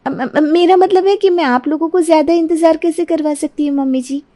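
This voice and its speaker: Casting: woman as lazy young bahu for cartoon story, very high voice, sugary, eager to please, sly cheer. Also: fairly quick, warm tone, close to an everyday voice. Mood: sweet, eager. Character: lazy, sweet-talking young daughter-in-law, cheerful schemer. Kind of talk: Hindi cartoon moral story dialogue.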